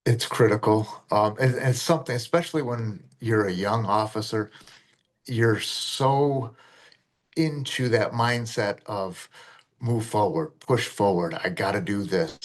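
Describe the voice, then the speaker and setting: A middle-aged man, a retired officer and deputy sheriff, telling his story in a plainspoken podcast interview with a low, fairly monotone voice. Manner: earnest, plainspoken storytelling with fillers.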